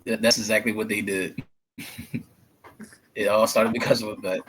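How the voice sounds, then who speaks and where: A young man in his twenties answers interview questions in short, plain sentences. His voice is low and stays flat, with a bright, forward edge to it. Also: normal speed, slightly rough, low-quality recording. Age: 20s. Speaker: guest, group member.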